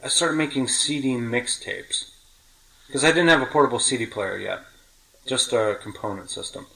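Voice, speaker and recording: A man's voice: medium pitch, normal speed, vocal fry, fairly bright tone, rough recording. A middle-aged man hosting a podcast, reminiscing casually in detail and correcting himself as he goes. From New York City. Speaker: podcast host.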